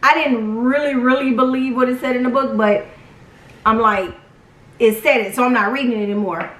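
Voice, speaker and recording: A young woman with a high voice tells a personal story in a casual, dramatic way. She acts out quotes as she goes. The sound is roomy and distant from the mic. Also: brisk. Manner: animated, dramatic retelling with acted quotes. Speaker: vlog storyteller.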